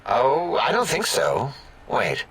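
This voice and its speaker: An actor playing a touchy, fussy, whining robotic brain scientist in a sci-fi comedy game. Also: male voice, mid-range voice, wildly swooping pitch, takes it slowly, noisy background. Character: whiny, touchy, nerdy robotic brain scientist, easily wounded.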